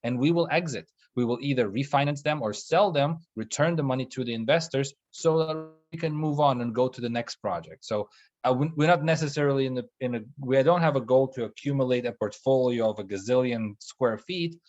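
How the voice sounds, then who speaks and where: A young man presents his investment firm on a webinar, talking haltingly with plenty of ums and restarts. His voice sits at an ordinary pitch. The call audio is dull and muffled. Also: a little mellow. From Russia. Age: young adult. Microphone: video call.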